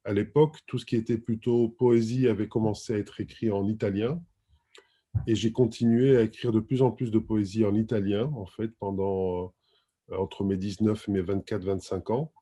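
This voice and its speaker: A middle-aged man answering interview questions thoughtfully, with many pauses and fillers. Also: on the low side, steady tone, mellow. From France. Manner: thoughtful, hesitant with frequent fillers. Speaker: guest writer and publisher.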